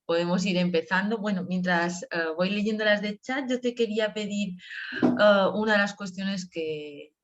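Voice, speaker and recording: A woman's voice: medium pitch, normal speed, background noise. A woman moderating an academic video conference, enthusiastic and posing long framed questions. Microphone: video call microphone. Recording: dull lo-fi video call audio.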